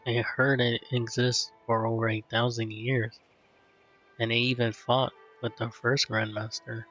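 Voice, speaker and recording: A man's voice: mid-range voice, flat delivery, unhurried, a bit bright. A man commenting casually on a game he is playing, in brief, subdued remarks on a dull lo-fi mic. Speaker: gamer commentating a playthrough. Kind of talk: casual reactions to game dialogue. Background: faint game music.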